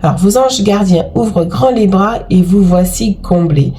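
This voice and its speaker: A woman's voice: medium pitch, moderate pace, mellow, slight background noise. A woman with a cold giving a chatty, effusive tarot card reading to her viewers, full of exclamations and fillers. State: has a cold. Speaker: tarot and oracle card reader.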